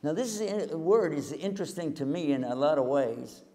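An older man gives a folksy, joking lecture to a live audience. His voice is gravelly and mellow. A long reverb hangs after his words in the large hall. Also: a little high, normal speed. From Texas. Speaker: Islamic preacher and TV host. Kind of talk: humorous public lecture. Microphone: handheld mic, far camera.

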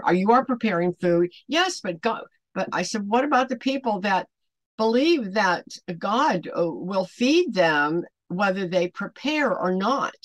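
Older woman, measured devotional talk. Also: medium pitch, dry recording. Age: older, around 70. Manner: rambling, emphatic, rhetorical questions. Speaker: online ministry host. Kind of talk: unscripted devotional talk to camera. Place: small furnished home room, indoors.

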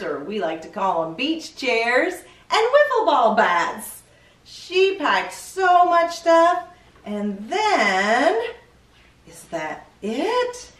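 A middle-aged woman hosting a children's read-aloud, expressive and questioning, stretching out key words for young listeners. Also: on the high side. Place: indoor studio with green screen, seated. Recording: roomy echo, distant microphone.

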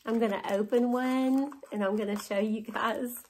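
A woman's voice: a little high, steady tone, moderate pace, darkish tone, a little hiss or hum, low-quality recording. A woman in her forties from West Virginia with a Southern accent, chatting and gushing over items she bought, laughing often, filmed in her living room. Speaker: haul vlogger. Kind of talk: casual show-and-tell haul. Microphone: camera in front at close range.

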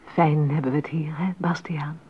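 A woman's voice: sits low, steady tone, moderate pace, breathy edge, narrow, tinny audio. An actress playing a composer's affectionate, lightly teasing wife and mother in a radio drama, speaking in a tender, intimate way. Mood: tender, cosy. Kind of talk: 1980s radio drama acting.

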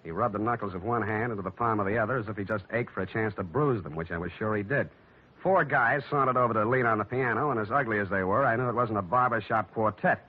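An actor playing a wry, unflappable hard-boiled private detective, deadpan and wisecracking, in 1940s radio style. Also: male voice, fairly low pitch, fast talker, natural voice. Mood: wry narration. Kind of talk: 1940s radio acting with first-person narration. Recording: dull vintage radio recording.

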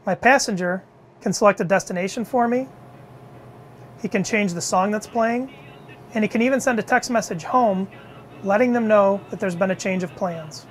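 Man, middle-aged, presenter, scripted product demo, steady explanatory tone, lapel mic. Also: high voice, a little hiss or hum. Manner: measured, clear explanatory delivery. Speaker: product innovation lead presenting a car cockpit demo. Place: indoor demo room with a driving simulator.

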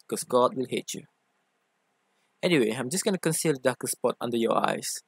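A playful young femboy boyfriend acted by a man in an ASMR roleplay, in a dry, close recording. He is affectionate and theatrical, teasing and coaxing his partner in a medium-pitched voice. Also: no echo. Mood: tender, teasing.

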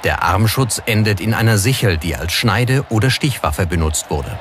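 Man, scripted documentary narration, lowish pitch, clear music bed behind him. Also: animated, normal speed, a bit bright.

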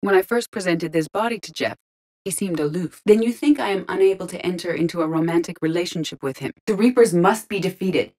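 Low-voiced, precise female android intelligence, played by an actress. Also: darkish tone, natural voice. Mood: calm, matter-of-fact. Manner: precise, formal, measured, emotionally restrained delivery. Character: calm, logical female ship artificial intelligence in android body. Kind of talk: scripted video game voice acting.

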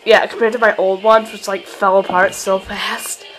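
A woman's voice: mid-range voice, level tone, moderate pace, a bit bright, a little hiss or hum, rough recording. A teenage girl with a New York accent, chatting casually in her bedroom at night and filling her talk with fillers while she films on a handheld camera. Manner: chatty, rambling, lots of fillers. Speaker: model horse hobbyist vlogger. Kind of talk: casual show-and-tell vlog.

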